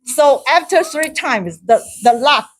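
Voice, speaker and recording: Halting Taiwanese woman telling a story. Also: noisy background. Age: middle-aged. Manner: halting, with many restarts and repeated words; laughs often, gets high and loud when excited. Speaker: club speaker. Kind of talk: personal story in a speaking club.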